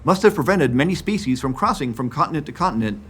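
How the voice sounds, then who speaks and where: A man in his fifties giving scripted, clear documentary narration about science. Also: on the high side, animated, quick pace. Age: 50s. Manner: clear, explanatory.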